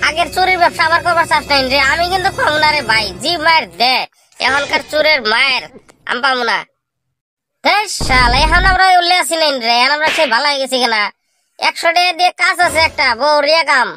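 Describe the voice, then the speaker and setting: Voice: sits high, fast, sharp tone, soft background music. A performer voicing a jobless, boastful young male village slacker in a comic cartoon, grumbling in a rural Bangladeshi dialect. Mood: scheming, eager.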